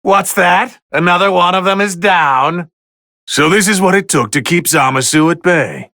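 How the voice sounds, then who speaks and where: A man's voice: sits high, normal speed, sharp tone, no echo, studio quality. A man voicing a haughty, easily bored god of destruction who comments on fights in a video game with condescending, refined, theatrical drawl. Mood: aloof, appraising. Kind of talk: English video game voice lines, match commentary.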